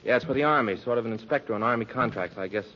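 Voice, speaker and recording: An American actor playing a bashful, agreeable and modest young suitor, hesitant, in a 1940s radio comedy. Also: male voice, medium pitch, rapid-fire, natural voice. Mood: earnest, shy. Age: young adult. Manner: hesitant, giggly, aw-shucks. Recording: muffled, noisy old radio recording, audience.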